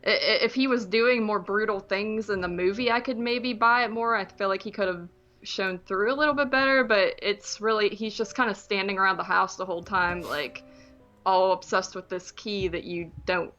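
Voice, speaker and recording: A woman's voice: mid-range voice, steady tone, moderate pace, sharp tone, muffled recording, faint music behind. A woman chatting casually as a podcast co-host, rambling informally about films. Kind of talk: casual chat reviewing films.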